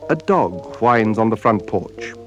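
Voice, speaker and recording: A man narrating a radio drama in a solemn, measured way, with deliberate dramatic pauses. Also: on the low side, expressive, dull audio. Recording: radio drama with music behind. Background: music.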